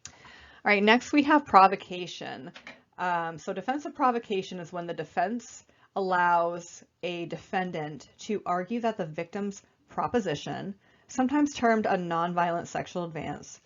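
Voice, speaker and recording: Young woman, slightly raspy, casual student presenter, lowish voice, dull recording. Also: animated, moderate pace. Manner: casual, conversational, frequent fillers. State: raspy, recovering from illness.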